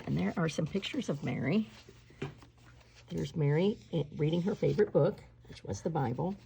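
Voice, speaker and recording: A woman reading a children's picture book aloud in an expressive, emphatic storytelling style, slowing on key words. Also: deep voice, creaky, a little hiss or hum, rough recording. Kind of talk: expressive read-aloud storytelling with spoken asides.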